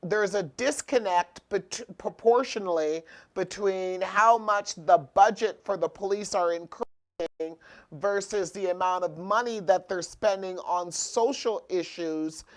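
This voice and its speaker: A woman's voice: mid-range voice, measured pace. A woman hosting a talk show, reading budget figures aloud and stumbling over and correcting her words.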